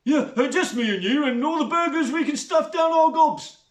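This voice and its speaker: A man's voice: very high voice, normal speed, pitched above the performer's own voice. A man voicing a greasy, fawning, lonely rat catcher with a smarmy manner. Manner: oily, smarmy. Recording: roomy echo.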